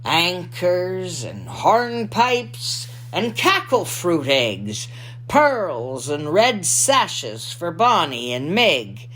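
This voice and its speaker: A salty old pirate lookout played by a woman spins a rhyming storybook yarn. The delivery is theatrical and very slow, swinging widely in pitch, with a faint hum behind it. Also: sing-song, fairly bright tone, exaggerated voice. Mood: wondering, dramatic.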